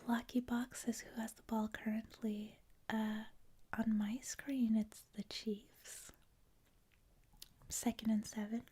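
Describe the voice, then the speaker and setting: A woman with a Canadian accent, a native French speaker, hosting a livestream in a hushed, whispery ASMR style, chatting casually with viewers. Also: on the high side, level tone, slow pace, breathy edge. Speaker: livestream host. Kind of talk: soft-spoken ASMR, casual chat with viewers.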